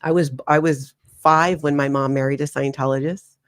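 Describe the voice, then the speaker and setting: A woman in her forties from Hawaii, a guest telling her life story in an interview, animated and laughing often. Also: low-pitched, normal speed. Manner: animated, laughs often, slips into acted quotes.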